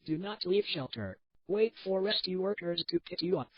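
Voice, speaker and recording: A man's voice: high-pitched, expressive, moderate pace. A man playing an official emergency announcer giving shelter orders over a dull lo-fi broadcast. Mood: stern.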